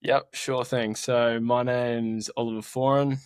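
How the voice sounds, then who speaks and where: A man's voice: medium pitch, little pitch movement, measured pace, clean tone, no echo. A young Australian man with an Australian accent, a guest talking about his life in a casual interview, earnest and laid-back. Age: young adult. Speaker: mountaineer guest.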